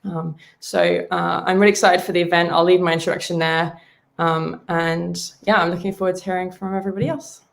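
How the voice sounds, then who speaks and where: A woman on a panel speaking in a thoughtful, halting way with many ums. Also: lowish voice, little pitch movement, vocal fry. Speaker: panelist, mutual aid volunteer. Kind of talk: panel introduction.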